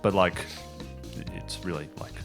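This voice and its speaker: Lower-pitched man over music. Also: fairly even intonation, measured pace, fairly bright tone. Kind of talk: casual improvised group tabletop chat. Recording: music playing under the voice.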